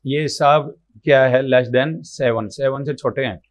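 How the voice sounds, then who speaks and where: Slightly hoarse male math tutor. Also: medium pitch, fairly even intonation, slow pace, no echo. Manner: explains aloud while writing, repeats numbers, asks and answers his own questions. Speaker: math teacher. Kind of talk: step-by-step exam problem walkthrough. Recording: dull lo-fi sound.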